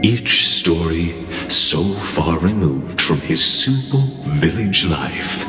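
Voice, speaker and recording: A grave male storyteller in an epic fantasy game. He speaks in a very low register, measured and portentous, taking his time over every phrase. Music plays beneath his echoing words. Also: sing-song, heavily acted. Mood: solemn. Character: solemn male narrator of an epic legend. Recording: echoey hall reverb, music behind.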